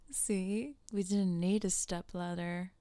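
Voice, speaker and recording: A woman's voice: lowish voice, smooth, dry recording, voice pushed down. A woman voicing a sweet, nerdy teenage tomboy with a hesitant, earnest manner in an ASMR roleplay. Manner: hesitant, earnest, gently teasing.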